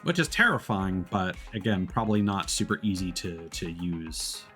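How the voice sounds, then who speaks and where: A man chatting casually while live streaming a game, explaining things in a rambling, halting way. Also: low-pitched, lively intonation, pushed and bright, soft background music. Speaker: live streamer playing games. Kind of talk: casual chat while gaming.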